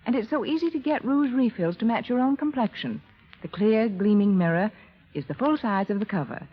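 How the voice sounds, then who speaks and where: A woman giving a scripted product pitch in the style of a 1930s radio spokeswoman. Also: fairly quick, slightly rough. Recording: dull vintage 1930s radio recording.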